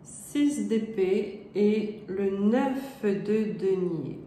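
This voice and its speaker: A woman reading tarot cards aloud while she has a cold, her nose stuffy. Her voice is middle-pitched and she talks in a hesitant, rambling way, often checking in with her listener. The room echoes, with faint noise behind her. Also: animated, drawn-out words, warm tone. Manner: hesitant, many fillers and rephrasings. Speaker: tarot card reader. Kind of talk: casual spoken tarot reading.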